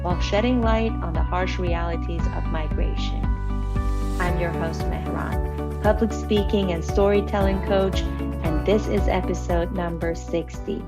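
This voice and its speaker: A woman hosting an interview podcast, speaking in a prepared presenter style. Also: lowish voice. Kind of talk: scripted podcast introduction and interview.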